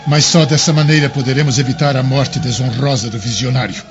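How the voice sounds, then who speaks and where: An actor playing a troubled, authoritative governor in a radio drama, speaking gravely and dramatically with a gravelly edge. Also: male voice, medium pitch, fairly even intonation, brisk, lo-fi sound, over music, close to an everyday voice. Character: troubled male Roman governor, authoritative, reluctant, admiring.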